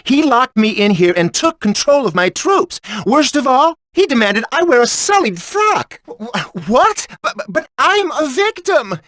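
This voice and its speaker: A woman's voice: high voice, very animated, a little slow, hardly any pauses, fairly bright tone, no echo, clean studio sound, heavily acted. A woman voicing a haughty, peevish aristocrat in a video game, with a pompous, theatrical and indignant way of talking. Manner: pompous, flowery wording.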